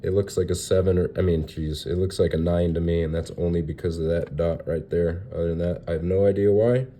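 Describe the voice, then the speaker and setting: A young man with a low, very dark voice, a little nasal and stuffy from a cold he is getting over. He rambles casually through his card collection, hesitating often and filling gaps with ums and uhs. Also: fairly even intonation, a little fast. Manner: rambling, hesitant, lots of fillers. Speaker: card collector showing graded cards. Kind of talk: casual unboxing vlog.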